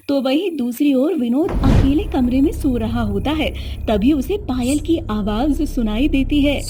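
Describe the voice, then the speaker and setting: High-pitched woman narrating a story.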